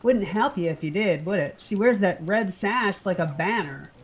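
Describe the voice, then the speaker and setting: Actress as arch, animated woman colleague. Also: medium pitch, moderate pace, breathy edge, low-quality recording. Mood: probing. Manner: arch, probing. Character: sly, inquisitive, clever woman office colleague. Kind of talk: audio drama acting.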